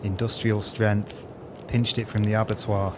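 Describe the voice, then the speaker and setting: Actor as sly swindler over clear noise. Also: male voice, fairly low pitch, level tone, a little slow, close to an everyday voice. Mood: sly scheming. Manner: sly, florid, effusive. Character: gleeful crooked swindler, falsely friendly poisoner. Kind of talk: audio play acting.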